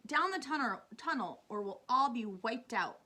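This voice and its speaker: Storybook boy hero, voiced by a woman: alert, earnest, cautioning, clear forceful edge. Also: fairly high pitch, expressive, moderate pace, voice pushed up. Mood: urgent alarm. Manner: urgent, cautioning.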